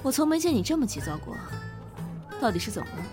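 A woman's voice: fairly high pitch, very animated, fast talker, over music. Assured, caring woman boss acted by a woman. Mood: teasing, concerned. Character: composed, caring female office boss in charge. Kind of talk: Chinese audio drama acting.

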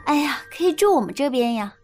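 A woman's voice: sits high, expressive, quick pace, soft background music. An actress playing an eager, naive young woman in an audio drama. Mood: curious, surprised.

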